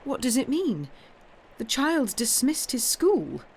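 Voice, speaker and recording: Female reader as earnest young governess, worried and precise, slightly raised pitch, noisy recording. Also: very animated, slow, heavily acted. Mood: distressed. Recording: clearly noisy background.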